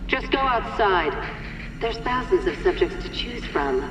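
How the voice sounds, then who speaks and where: An actress playing a cold, obsessive, ruthless scientist with a commanding manner in a dramatic video game. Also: female voice, medium pitch, sing-song, measured pace. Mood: cold, impatient. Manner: imperious, commanding. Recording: echoing hall, music underneath.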